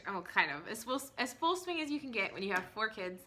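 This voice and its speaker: A woman in her thirties hosting a knitting podcast from home, chatting casually with many ums and small laughs, her voice hoarse from a cold. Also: medium pitch, very animated, brisk, sharp tone, slight background noise. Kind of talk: casual chat to camera. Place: at home, indoors.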